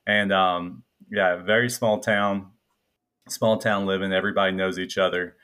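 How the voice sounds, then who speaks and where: A man in his twenties with a light Tennessee Southern twang, a guest telling his story in a relaxed, reflective way with frequent fillers. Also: deep voice, normal speed, clear, resonant tone. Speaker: guest, incoming psychiatry resident. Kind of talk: casual interview chat.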